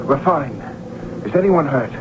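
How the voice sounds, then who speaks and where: An actor playing an imperious middle-aged archduke, commanding and formal, in a 1970s radio drama. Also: male voice, mid-range voice, animated, a little slow, noisy background, lightly acted. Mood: sardonic. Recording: dull vintage radio broadcast.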